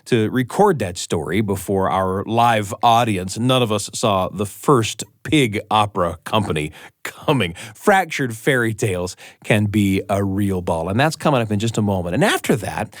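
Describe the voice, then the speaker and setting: A man hosting a storytelling podcast, introducing stories in an animated scripted narration. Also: on the low side, moderate pace, no room sound, studio quality. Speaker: podcast host.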